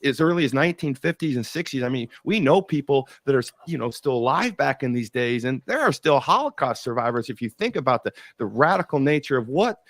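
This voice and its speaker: A man's voice: mid-range voice, expressive, brisk, few pauses, fairly bright tone, dry recording. Rambling, enthusiastic male podcast host. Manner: enthusiastic, rambling, many restarts. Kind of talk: interview host, casual chat.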